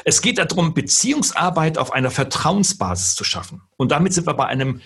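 A middle-aged man from gives an earnest talk on leadership, slowing down to stress key words. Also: on the high side, lively intonation, fairly bright tone, breathy edge. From northern Germany. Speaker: keynote speaker and lecturer.

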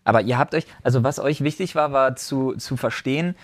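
A man's voice: medium pitch, fairly quick, dry recording, studio quality. A man co-hosting a casual podcast discussion, talking in a blunt, emphatic and colloquial way. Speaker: podcast co-host. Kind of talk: casual discussion among friends.

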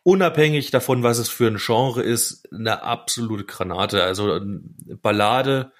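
A man's voice: mid-range voice, unhurried. A man co-hosting a podcast, chatting casually about music in an enthusiastic, slangy, emphatic way. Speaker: podcast co-host.

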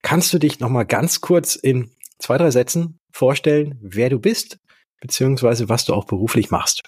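A man's voice: mid-range voice, normal speed, darkish tone, breathy edge, no echo, studio quality. A man hosting a podcast interview, asking questions in an upbeat, conversational way. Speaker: podcast host.

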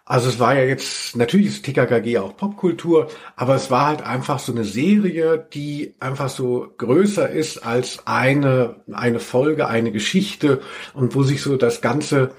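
A man's voice: medium pitch, measured pace. A man hosting a podcast in a casual, jokey, rambling chat with a mock-serious streak. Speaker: podcast host.

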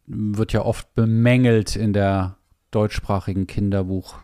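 A man hosting a casual podcast chat, hesitant with many fillers and gently teasing. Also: on the low side, fairly even intonation, unhurried, clean tone. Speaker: podcast host.

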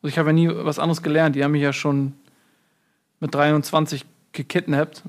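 Guy doing a mumbly fortune cookie writer, rambling deadpan, kinda high voice. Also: fairly quick, close to an everyday voice. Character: male fortune cookie author, muddled and rambling. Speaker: mock interview guest. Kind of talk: improvised comedy sketch.

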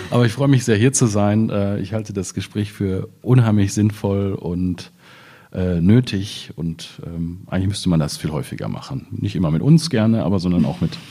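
Man, deep voice, dark and mellow, hesitant phrasing, poet in reflective literary talk. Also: husky. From Ruhr area, Germany.

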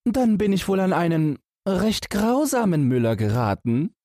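A fearless young fairy-tale hero, acted by a male reader, cheeky yet courteous. The voice sits well above a normal male pitch, light and teasing, with a mocking edge. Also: very animated, measured pace, dark tone, no echo, clean studio sound. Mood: cool mockery. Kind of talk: fairy-tale audiobook character.

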